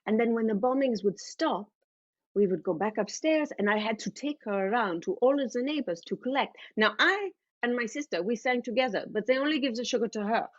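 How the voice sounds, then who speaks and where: A woman's voice: a little high, animated, a little fast, no room sound, highs cut off. A woman voicing her elderly mother in a personal story, with a dramatic, sentimental, blunt manner. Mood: wistful, wry. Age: old woman. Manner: theatrical, sentimental, non-native phrasing.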